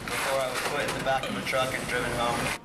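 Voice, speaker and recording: A man's voice: medium pitch, completely flat delivery, fast. A man in a band telling a sheepish, self-mocking story about himself. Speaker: band member. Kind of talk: casual anecdote. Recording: roomy and distant, loud engine noise.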